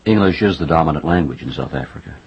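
A man interviewing an author, asking his questions in a halting way with repeated words and many "uh"s. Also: extremely low pitch, expressive, husky. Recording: dull lo-fi sound with faint noise.

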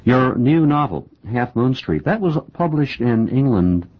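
A man's voice: medium pitch, big pitch swings, unhurried. A man with a Midwestern American accent, interviewing a guest with brief, probing questions. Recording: muffled lo-fi interview tape.

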